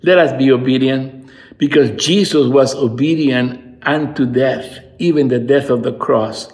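A man's voice: medium pitch, unhurried, darkish tone. Earnest man in his sixties, echoey room. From Peru. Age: 60s. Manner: earnest, fervent, rising to emphatic peaks. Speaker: pastor. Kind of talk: devotional sermon.

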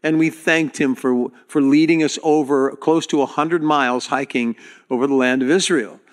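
An earnest male pastor addressing his congregation in a sermon. His voice sits at an ordinary middle pitch, and he speaks directly to the people, often stopping to restart a phrase. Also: fairly even intonation, moderate pace.

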